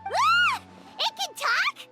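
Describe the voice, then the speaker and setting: A woman voicing a small, childlike, excitable companion creature in a video game, squeaky, exclamatory and easily indignant. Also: drawn-out words, heavily acted. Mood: shocked, indignant. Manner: squeaky, third-person self-reference, exclamatory. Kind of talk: scripted video game dialogue. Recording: voice over game music. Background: game music.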